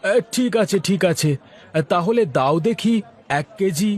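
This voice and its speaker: A man plays a brash cartoon customer at a fish market. The voice is pitched high and comes out blunt, nosy and exclamatory. A faint crowd can be heard behind it. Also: expressive, a little slow. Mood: interested. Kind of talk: cartoon voice acting.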